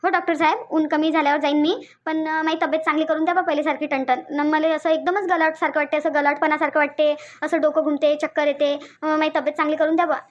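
A woman's voice: high-pitched, flat delivery, fast talker, few pauses, dull audio, close to an everyday voice. A woman voicing a stubborn, complaining old village grandmother in a cartoon, with a rustic Varhadi Marathi accent and a repetitive, grumbling manner. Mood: complaining, pleading. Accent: Varhadi, Vidarbha Marathi. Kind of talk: cartoon dialogue.

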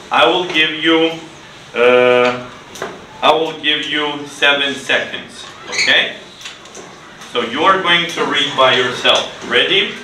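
A middle-aged man teaching an English class to children in simple, deliberate phrases, with repeated words and short instructions. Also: on the high side. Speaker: ESL teacher. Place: indoor school classroom. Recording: roomy, noisy classroom, distant mic.

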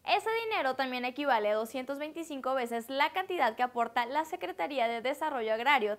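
A young woman with a Mexican accent presents a scripted news explainer, speaking directly and explanatorily to the viewer. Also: sits high. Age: young adult. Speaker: host of an online news explainer. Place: indoor green-screen studio. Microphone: lapel mic.

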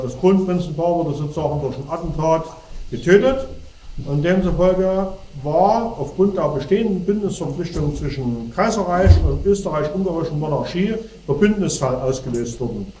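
A middle-aged man with a cold gives a talk to an audience, standing and gesturing as he explains. His voice sits a little high. He is picked up from far off in an echoey hall. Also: darkish tone. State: has a cold. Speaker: speaker. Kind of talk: lecture to an audience. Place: darkened hall before a projection screen. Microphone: far camera.

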